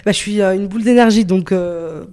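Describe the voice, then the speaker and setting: A woman's voice: medium pitch, fairly quick, a little mellow. A woman in her late twenties from northern Italy, a guest chatting casually and bubbly in an interview, laughing easily and full of fillers. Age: 20s.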